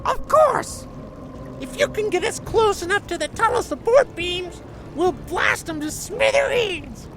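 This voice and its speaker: A woman voicing an excitable little goblin sapper who loves explosives, squeaky and gleeful. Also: unhurried, faint music behind, full character voice.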